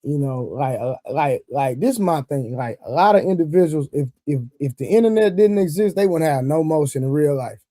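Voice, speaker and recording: A middle-aged man with a mellow, fairly high voice. He chats casually and reflectively in a dry-sounding space, often adding little check-in phrases. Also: lively intonation, a little fast, few pauses, no echo. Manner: reflective, punctuates with "you know what I'm saying". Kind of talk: casual chat.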